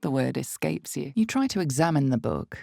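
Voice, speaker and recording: A woman performing as an ominous storyteller in a dark fantasy game, narrating in a deliberate, suspenseful, theatrical way with breathy drops toward a whisper. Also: low voice, animated, dry recording, studio quality, heavily acted. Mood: grave, suspenseful. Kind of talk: dramatic second-person fantasy game narration.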